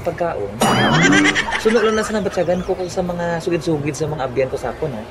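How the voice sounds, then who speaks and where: A woman's voice: on the low side, brisk, loud music behind the voice, natural voice. Teasing young man, as a woman voices him. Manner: teasing, playful. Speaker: character in an audio drama. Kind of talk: amateur audio drama acting.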